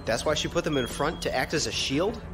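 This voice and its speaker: Junior male lieutenant, male actor, sci-fi audio drama: inquisitive, deferential, raised pitch, prominent music underneath. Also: lively intonation, a little fast, close to an everyday voice. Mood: puzzled. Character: keen, questioning junior male naval lieutenant. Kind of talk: science fiction audio drama acting. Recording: music behind the voice.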